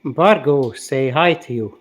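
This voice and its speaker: A man's voice: medium pitch, takes it slowly, a little hiss or hum. A man behind the camera reading out viewer comments in short calls.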